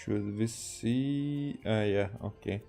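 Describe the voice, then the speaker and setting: A man from Hungary, a native Hungarian speaker, giving casual, halting game playthrough commentary as he thinks aloud. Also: lowish voice, warm tone, soft background music. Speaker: game streamer.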